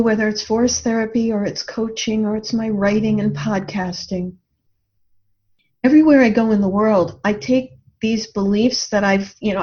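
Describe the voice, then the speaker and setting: A woman's voice: fairly high pitch, a little mellow. Reflective woman on a dull lo-fi recording. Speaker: podcast guest, writer and coach. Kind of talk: reflective interview talk. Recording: dull lo-fi audio.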